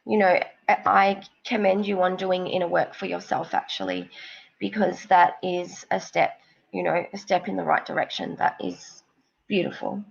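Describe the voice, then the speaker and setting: A woman with a cold and a snuffly nose, giving psychic card readings on a live stream in a slow, rambling, reassuring way. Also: on the low side, expressive, creaky, husky, dull audio.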